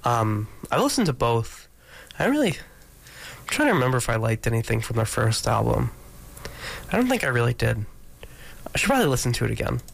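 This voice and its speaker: Congested male DJ, gravelly, rambling casual studio patter. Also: mid-range voice, sing-song, brisk, long pauses. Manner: rambling, many ums and restarts. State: congested from allergies. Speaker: radio DJ. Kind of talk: casual radio chat between songs. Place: radio studio.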